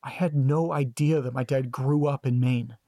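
A guarded, sarcastic man played by an actor in an audio drama, sounding detached from his troubles. His speech is dry, clipped and hesitant, at a middle pitch, recorded with very little room sound. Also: fairly even intonation, moderate pace, mellow, no room sound, close to an everyday voice. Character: guarded, sarcastic grandson detached from family trauma. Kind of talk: naturalistic audio drama acting.

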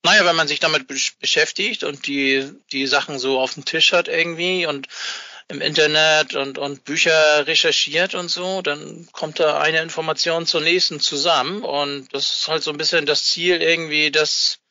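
Halting middle-aged man, very bright voice. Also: a little high, steady tone, no echo, lo-fi sound. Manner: halting, hesitant, searching for words. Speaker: interview guest, fan magazine maker. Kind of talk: casual interview chat.

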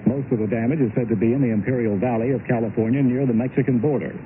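A man's voice: mid-range voice, steady tone, very fast, soft background music. An American man anchoring a scripted radio news bulletin. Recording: narrowband radio broadcast with faint noise.

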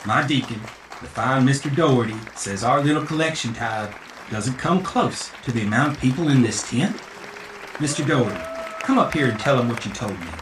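A man's voice: medium pitch, animated, a little fast, noisy background, natural voice. A man playing a crafty, greedy revival preacher in an audio drama, showy and folksy, full of rhetoric. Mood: folksy, goading. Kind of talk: theatrical sermon in audio drama. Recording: echoey, distant voice with crowd.

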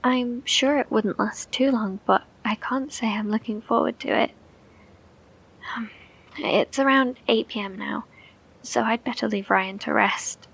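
A woman's raised, husky voice with plenty of creak a bunker broadcaster in a horror audio drama. She sounds sincere and gently concerned. Her delivery is informal and a little hesitant. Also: on the high side, measured pace, full character voice.

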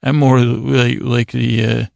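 Creaky-voiced man rambling softly to soothe. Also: medium pitch, level tone, no echo, studio quality. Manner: meandering, digressive, halting with pauses; soothing, lulling delivery. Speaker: podcast host. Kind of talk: rambling bedtime sleep podcast monologue.

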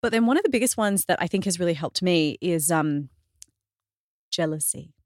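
Woman, podcast guest, fitness trainer, lowish pitch, dry close sound, earnest and chatty. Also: moderate pace, no echo. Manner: animated and earnest, many "like" fillers, self-corrections. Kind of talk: casual podcast conversation.

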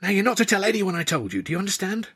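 A man voicing a flustered young woman, a stage assistant, in an audiobook reading, with a husky voice. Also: big pitch swings, fairly bright tone, exaggerated voice. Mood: flustered, defensive. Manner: quick, defensive, higher voice.

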